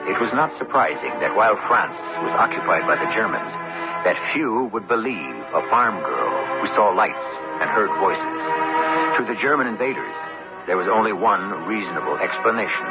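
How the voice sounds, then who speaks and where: Man, deep voice, lively intonation, dramatic scripted narration, vintage muffled radio sound. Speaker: host and narrator. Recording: dull old radio recording with music.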